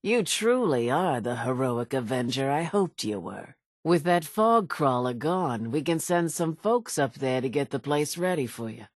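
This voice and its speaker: A woman's voice: rumbling low, a little mellow, no room sound, clean studio sound, heavily acted. An actress playing an older island woman in a game, a folksy, dramatic storyteller who mourns her family and wants vengeance. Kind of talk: scripted game character dialogue, theatrical storytelling.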